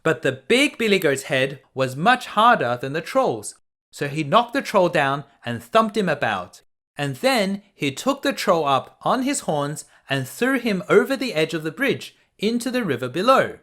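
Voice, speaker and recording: Animated, unhurried male children's storyteller. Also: fairly high pitch. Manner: expressive read-aloud. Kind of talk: children's bedtime story narration.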